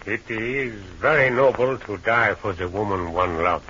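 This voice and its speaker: Courteous, loyal gentleman sage, formal measured delivery, bright voice, dull vintage radio recording. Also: fairly low pitch, slightly rough, exaggerated voice. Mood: courteous, grave. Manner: formal, measured, ornate wording. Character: courteous, philosophical Chinese gentleman, calm and loyal. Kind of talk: old-time radio drama acting. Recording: muffled, noisy old radio recording.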